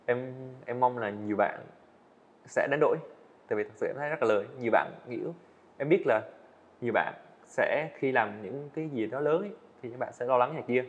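Chàng trai trẻ nói nhanh, giọng hơi đục. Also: male voice, mid-range voice, dull audio. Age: young adult. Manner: earnest, fast-talking, frequent small restarts. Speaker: student animator guest. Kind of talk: casual interview answers. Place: indoor studio set.

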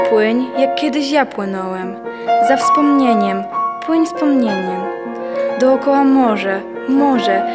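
Young woman doing solemn poem recital, takes it slow, loud piano music, radio play. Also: a little high. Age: young adult.

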